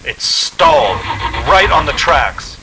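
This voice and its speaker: A man's voice: a little high, big pitch swings, takes it slowly, vocal fry, background noise, heavily acted. An actor playing a haunted, anxious young man driving cross-country, speaking in a tense, hesitant radio drama style. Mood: dread. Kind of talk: old-time radio drama acting with narration.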